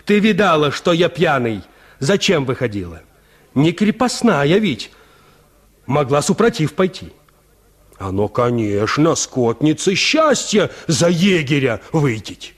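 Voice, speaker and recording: Vain, slow-spoken peasant huntsman. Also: fairly high pitch, very animated. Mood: proud, scornful. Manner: boastful, dismissive, deliberate folksy peasant speech. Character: vain, callous peasant huntsman scorning his unloved wife. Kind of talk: Russian radio drama acting.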